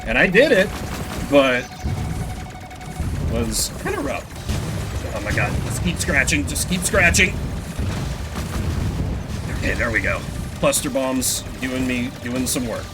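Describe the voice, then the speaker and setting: A man's voice: mid-range voice, big pitch swings, slow, long pauses, noisy background. A man doing casual live gameplay commentary, rambling in a self-deprecating way with casual swearing. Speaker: video game streamer.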